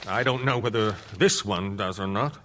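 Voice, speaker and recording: An actor playing a flustered witness who backs down and apologizes under questioning. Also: male voice, on the low side, moderate pace, a bit bright, slight background noise, close to an everyday voice. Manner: hesitant, apologetic.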